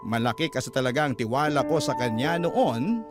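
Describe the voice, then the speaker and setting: A man's voice: mid-range voice, animated, a little fast. A man with a Filipino accent narrating a listener's letter as a scripted radio drama. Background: music.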